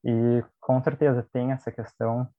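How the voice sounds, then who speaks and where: A man from Brazil, a podcast guest who speaks in a measured, thoughtful way. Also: medium pitch, flat delivery, no echo. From Rio Grande do Sul, Brazil. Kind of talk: casual podcast conversation. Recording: dry, slightly dull audio.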